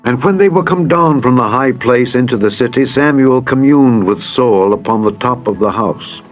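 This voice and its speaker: A man reading dramatized Bible narration in a solemn, measured storytelling manner. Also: mid-range voice. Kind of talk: dramatized scripted scripture narration. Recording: dull lo-fi audio over music. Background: music.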